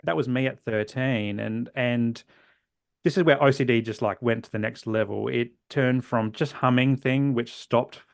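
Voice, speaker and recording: An Australian man in his forties, a guest in a podcast interview, telling his own story earnestly and reflectively, often trailing off softly at the ends of phrases. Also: medium pitch, normal speed, gravelly, dry recording, clean studio sound. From South Australia. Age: 40s.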